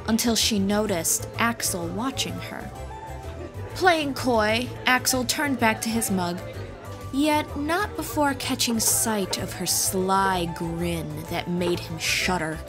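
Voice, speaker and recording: A woman reading a scripted fantasy story as an audiobook narrator. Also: medium pitch. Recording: tavern crowd and music behind narration. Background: music and tavern crowd ambience.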